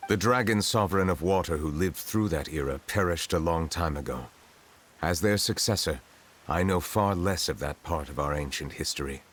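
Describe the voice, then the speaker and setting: Game casting: stately dragon ruler, very deep bass, formal, reserved, grave and courteous. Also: male voice, normal speed, a bit bright, a little hiss or hum. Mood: formal, explanatory. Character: dignified male water dragon sovereign in human guise, solemn. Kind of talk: English video game voice acting. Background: game music.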